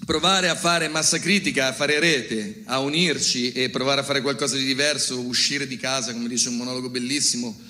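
A man's voice: medium pitch, fairly even intonation, moderate pace, hardly any pauses, bright, forceful tone. A man introducing a theatre collective's project in a spoken presentation. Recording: long hall reverb.

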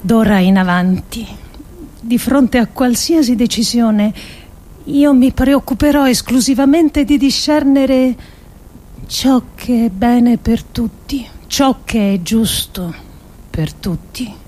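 An actress playing an earnest, resolute woman politician who delivers a solemn theatrical speech with measured, emphatic phrasing. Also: on the high side, dark tone. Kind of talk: theatrical monologue, solemn oratory.

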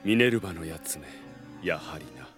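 A man playing a cold, proud, vengeful prince in a radio drama, measured and contemptuous in his menace. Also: rumbling low, lively intonation, soft background music.

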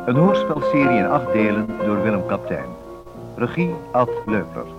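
A man's voice: fairly low pitch, big pitch swings, normal speed. A man reading scripted opening titles as the announcer of a radio drama. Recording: dull radio recording with loud piano music.

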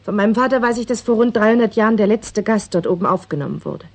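Self-assured husky-voiced local woman. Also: medium pitch, animated, fast. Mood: assured, explaining. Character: self-assured local woman, patiently explaining her island's history. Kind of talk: 1960s German radio play acting.

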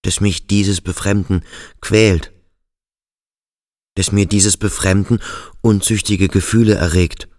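An actor playing a brooding, introspective adolescent cadet in a radio drama, speaking slowly and deliberately in searching self-questioning. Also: male voice, low voice, flat delivery, darkish tone, no echo, studio quality. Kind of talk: literary radio drama monologue.

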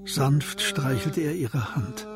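A man narrating a radio crime drama as a sober storyteller, in a scripted literary style with a very husky voice. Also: fairly high pitch, animated, slow pace, music in the background. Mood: hushed, tender. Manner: sober, descriptive.

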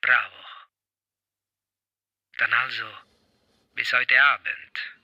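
A man's voice: mid-range voice, steady tone, extremely slow, pushed and bright, no room sound, lo-fi sound, full character voice. An actor playing a menacing male mafia thug in a radio drama, taunting and vulgar.

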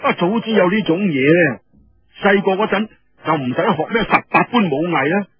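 A man's voice: high voice, animated, normal speed, breathy edge, muffled recording, pitched above the performer's own voice, heavily acted. A man voicing a swaggering, teasing rebel officer in Cantonese, blustering and mocking as he repeats his words. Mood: boastful.

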